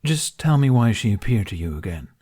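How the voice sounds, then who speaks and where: A man's voice: lowish voice, big pitch swings, fairly quick, warm tone, breathy edge. A man voicing a proud, protective, dryly sarcastic dragon in an audiobook, speaking in blunt, formal grumbles. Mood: deadpan irritation. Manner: grumbling, declarative, formal phrasing.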